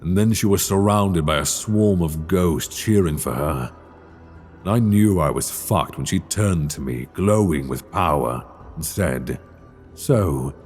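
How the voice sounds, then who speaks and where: A man playing an ancient, proud and regretful dragon king, telling his story in a husky, brooding, theatrical way for an ASMR dark fantasy roleplay. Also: low-pitched. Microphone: close binaural microphone.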